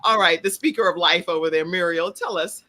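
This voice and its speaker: A woman hosting a talk show over a video call, animated and playful, laughing often. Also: lowish voice, fairly quick.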